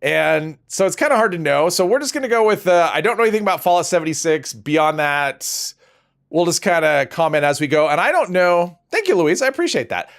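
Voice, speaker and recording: A man's voice: on the high side, big pitch swings, fairly quick, gravelly. Guy livestreaming a game, bit sniffly, chatty and loose, voice jumps up and down a lot. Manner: chatty, reads out viewer names, laughs easily. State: a little sniffly. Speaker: game streamer. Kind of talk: casual livestream chat.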